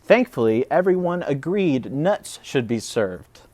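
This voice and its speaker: A man reading a children's story aloud in an expressive, dramatic way. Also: a little high, a little slow, dry recording. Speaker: preschool storybook reader. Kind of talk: animated children's story narration.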